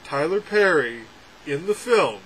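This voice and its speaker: A young adult man reviewing a film from a room at home, talking sarcastically with animated, exaggerated outbursts. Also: a little high, unhurried, breathy edge, rough recording. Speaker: movie reviewer. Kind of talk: casual ranting film review. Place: home room with packed shelves, indoors. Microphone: webcam framing at desk.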